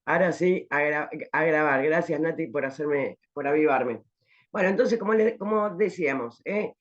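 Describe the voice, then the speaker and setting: A woman's voice: deep voice, normal speed. Woman teacher, explanatory, checks in with "¿eh?", dull online-call sound. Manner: explanatory, rhetorical questions, frequent "¿eh?" tags. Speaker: pharmacology teacher. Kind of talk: online class lecture.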